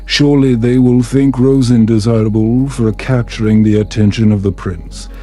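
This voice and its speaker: A man's voice: mid-range voice, fairly even intonation, normal speed, mellow, soft background music, natural voice. A man voicing a courteous, scheming noble lady in a bedtime fantasy story. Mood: persuasive. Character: scheming noble lady, mother, smoothly persuasive.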